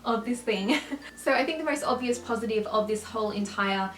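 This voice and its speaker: A woman's voice: fairly high pitch, a bit bright. Young woman, slight lisp, chatty and upbeat, roomy echoey recording. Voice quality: slight lisp from clear aligners. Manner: chatty, upbeat, quick to laugh. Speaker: vlogger. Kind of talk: casual chat to camera. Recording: roomy echo.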